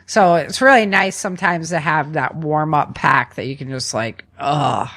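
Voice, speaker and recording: A woman's voice: low voice, moderate pace, fairly bright tone. A woman co-hosting a podcast, chatting informally as she explains practical tips. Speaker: podcast co-host.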